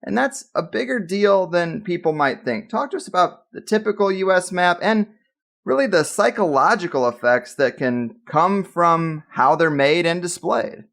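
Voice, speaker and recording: A man's voice: high-pitched, expressive, normal speed, vocal fry, a bit bright. A man hosting a podcast, reading an emphatic scripted intro and then chatting casually with his guest. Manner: emphatic, hype-style introductions. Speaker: podcast host.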